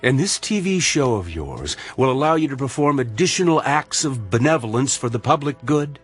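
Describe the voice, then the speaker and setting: A man's voice: mid-range voice, big pitch swings, normal speed, breathy edge, soft background music. An actor playing an earnest male medical colleague with a probing, moralising, sardonic manner in a radio drama. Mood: sceptical, sardonic.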